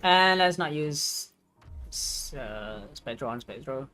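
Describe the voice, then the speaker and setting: A game streamer giving casual live commentary, thinking aloud in a hesitant, halting way. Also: medium pitch, animated, sharp tone, faint music behind.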